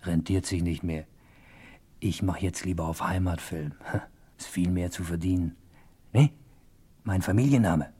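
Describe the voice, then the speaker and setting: A man's voice: deep voice, unhurried, pauses often, dark tone, husky. An actor playing a sly, chummy male crook who narrates his tale confidentially in a 1950s German radio comedy. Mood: wry, confiding. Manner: confidential, wry, conversational.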